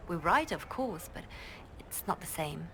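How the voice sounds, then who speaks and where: A woman playing a poised, gentle spymaster turned high priestess in a video game, speaking reflectively. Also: animated, measured pace, a little hiss or hum, heavily acted. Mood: wistful. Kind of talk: video game character dialogue.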